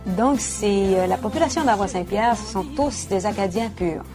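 A woman's voice: mid-range voice, moderate pace, over loud music. A woman with a Québécois accent, answering an interview in a plain, earnest way. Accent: Québécois French. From Quebec, Côte-Nord. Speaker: interviewed singer.

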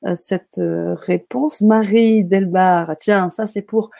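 A woman's voice: medium pitch, steady tone, slow, creaky, dry recording, studio quality. A woman hosting a live stream, reading out viewer comments with enthusiasm and frequent hesitations. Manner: warm, enthusiastic, hesitant filler-heavy reading. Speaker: host.

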